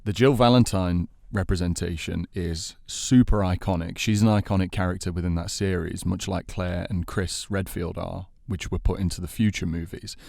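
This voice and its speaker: A man with a notably deep voice speaks as a co-host in a casual panel debate. He is opinionated and leans hard on his points, and the recording is dry and close. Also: fairly even intonation, moderate pace, creaky, hardly any pauses, darkish tone, dry recording. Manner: opinionated, emphatic. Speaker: podcast co-host in a film debate.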